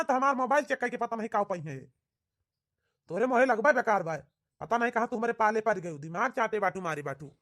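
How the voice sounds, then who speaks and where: A man's voice: extremely high pitch, pushed and bright, heavily acted. A man acting an irritated young villager with a grumbling manner and an Awadhi accent in a cartoon comedy. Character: irritated young man griping about his girlfriend.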